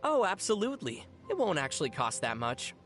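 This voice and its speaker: A calm young game adventurer who explains things in a measured way and teases with a straight face. The voice sits mid-range and moves with lively intonation. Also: medium pitch, over loud music. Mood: deadpan playful. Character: calm young traveler with a dry, teasing wit. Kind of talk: video game dialogue.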